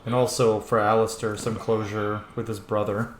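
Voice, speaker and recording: A man's voice: fairly low pitch, flat delivery, normal speed, reverberant, a little hiss or hum, rough recording. A man giving casual, sweary gameplay commentary, talking informally to the game's characters as he plays. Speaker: gamer, let's play host.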